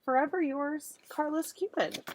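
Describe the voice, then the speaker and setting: A woman's voice: high-pitched, a little slow, a little mellow, slight background noise, pitched above the performer's own voice. A woman voicing a courteous male Cupid reading out his formal, flowery storybook letter. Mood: courteous, apologetic.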